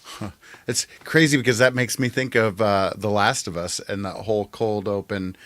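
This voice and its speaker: Lower-pitched man, dry close recording. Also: steady tone, normal speed, a bit bright, no echo. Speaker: podcast co-host. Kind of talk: casual chat.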